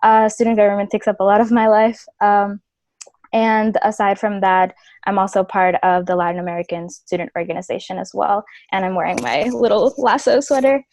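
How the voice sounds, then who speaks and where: A woman's voice: medium pitch, fairly even intonation, a little fast, a little mellow, dry recording. Chatty young woman, dry sound. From Ecuador, raised in New Jersey. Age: 20s. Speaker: college student panelist. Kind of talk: casual self-introduction. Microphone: video call.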